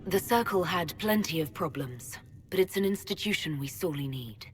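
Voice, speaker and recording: An actress playing an earnest, level-headed young female elven mage, calm and direct, in a video game. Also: lowish voice, moderate pace, in a large hall, natural voice.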